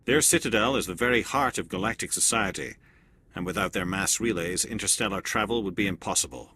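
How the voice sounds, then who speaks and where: Nihlus, a cool turian agent. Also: male voice, lowish voice, brisk, sharp tone, husky, exaggerated voice. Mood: measured. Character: male turian alien agent, cool and measured. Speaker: elite agent observing a mission. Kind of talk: video game cutscene acting.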